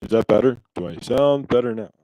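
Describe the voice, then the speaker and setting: A man's voice: medium pitch, animated, fairly quick, mellow, rough recording. A middle-aged man hosting a casual live stream, rambling and thankful, with lots of fillers and restarts, speaking into a clip-on mic. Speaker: host. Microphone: clip-on transmitter mic.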